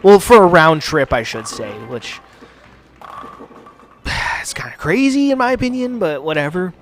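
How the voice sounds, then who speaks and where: A man's voice: high voice, big pitch swings, a little slow, faint music behind. A young man from the United States, hosting a gaming channel and rambling casually with plenty of swearing and filler words. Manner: profane, rambling, filler-heavy. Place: home basement studio.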